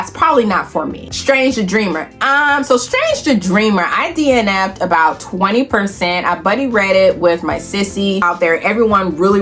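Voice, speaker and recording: An American woman talking casually as a book vlogger, animated, emphatic and playful, with a folksy, familiar way of addressing viewers. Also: medium pitch. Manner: animated, emphatic, playful, calls herself auntie; folksy, familiar address with y'all, honey, child. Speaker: book reviewer and video host. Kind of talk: casual, chatty book vlog.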